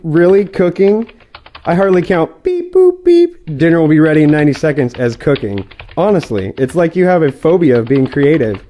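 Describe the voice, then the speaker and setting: A man's voice: on the high side, animated, normal speed, dull audio, close to an everyday voice. A man playing a sarcastic boyfriend in an audio play, bickering in a dry way. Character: sarcastic boyfriend bickering with his girlfriend online.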